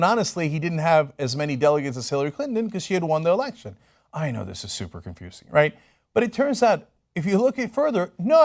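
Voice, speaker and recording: A man gives political commentary in a conversational tone, reading reports aloud and explaining them as he goes. His voice sits a little high and moves around a lot in pitch.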